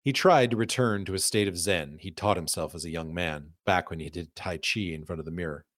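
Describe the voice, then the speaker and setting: A man reading scripted narrative for a documentary podcast in a measured, storytelling way. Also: sits low, a bit bright, no room sound, clean studio sound.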